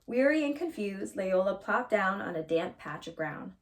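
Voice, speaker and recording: A woman reading a children's storybook aloud as a storyteller, in an expressive narrating style. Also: mid-range voice, normal speed.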